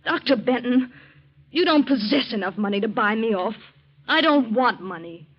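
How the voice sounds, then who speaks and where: A woman's voice: on the high side, animated, moderate pace, a little hiss or hum, natural voice. A proud young woman played by an actress in an old 1940s radio drama. She speaks with firm, indignant defiance. The recording is dull and lo-fi. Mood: scornful. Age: young adult.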